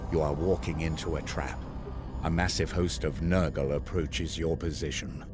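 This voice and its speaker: An actor playing a loyal armoured warrior knight in a video game, reverent and formal, with music behind him. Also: male voice, very deep voice, fairly even intonation, moderate pace. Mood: solemn loyalty. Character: loyal, solemn armoured warrior knight serving his grandmaster. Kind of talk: video game cutscene acting.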